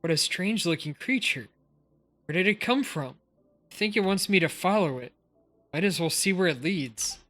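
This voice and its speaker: A woman's voice: lowish voice, lively intonation, moderate pace, a bit bright. A woman reading lines from a story game aloud. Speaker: game streamer.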